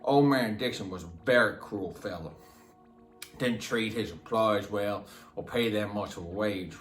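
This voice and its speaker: Man acting a halting, low-voiced Southern simpleton. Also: close to an everyday voice. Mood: slow, matter-of-fact. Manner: halting, plain-spoken, repetitive folksy drawl. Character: simple, slow, matter-of-fact rural man confessing to killings. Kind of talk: dramatic film monologue. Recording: roomy echo, music underneath.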